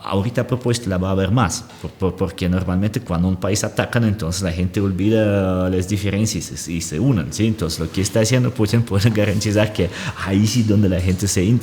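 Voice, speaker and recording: A man's voice: low-pitched, a little mellow, big-room sound. A man, talking as a guest analyst in an explanatory conversation. He searches for words and often checks in with a questioning aside.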